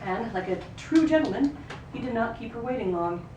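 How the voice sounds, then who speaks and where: Female reader for literary fiction, expressive third-person storytelling, recorded off-mic in a roomy space. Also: fairly high pitch, moderate pace. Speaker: actor reading a book excerpt. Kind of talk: live literary reading, third-person narration. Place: live reading in a roomy venue. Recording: roomy, distant microphone, noisy ambience.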